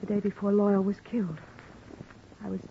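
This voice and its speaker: An actress playing an alluring young widow and suspect in a radio noir melodrama, speaking in a breathy, halting, pleading way. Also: female voice, mid-range voice, completely flat delivery, close to an everyday voice. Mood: guarded, hesitant. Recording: muffled, noisy old radio recording.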